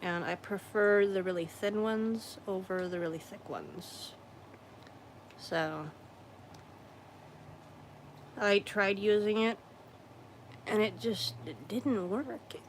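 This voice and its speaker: A woman livestreaming her crafting in casual, rambling chat, hoarse and losing her voice, often clearing her throat and correcting herself. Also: medium pitch, takes it slowly, a little hiss or hum. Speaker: craft streamer.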